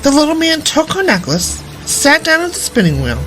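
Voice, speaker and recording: A woman's voice: high-pitched, wildly swooping pitch, normal speed, a bit bright, poor audio. A woman storyteller reading a fairy tale aloud expressively. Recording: music behind the voice. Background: background music.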